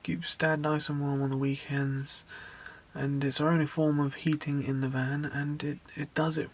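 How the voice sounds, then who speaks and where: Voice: medium pitch, flat delivery, normal speed. A van-conversion vlogger chatting casually with many fillers and restarts, voice a little worn. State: losing voice. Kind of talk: casual vlog narration. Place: inside a small campervan.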